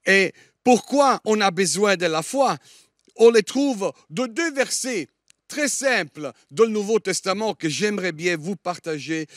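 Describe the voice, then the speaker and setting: A middle-aged Italian man with a fairly high voice preaches with an Italian accent touched by Liège. He hesitates often, filling pauses with "euh" as he searches for French words. The sound is dry and close, with little room echo. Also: fairly bright tone. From Italy. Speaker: guest preacher. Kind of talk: sermon.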